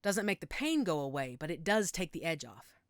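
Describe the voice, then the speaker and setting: Woman acting a frank, caring werewolf, low dry voice. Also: big pitch swings, fairly quick, no room sound. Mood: soothing, practical. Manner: matter-of-fact, reassuring, wry. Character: frank, caring female werewolf tending a frightened newcomer. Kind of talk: audio roleplay drama, one-sided conversation.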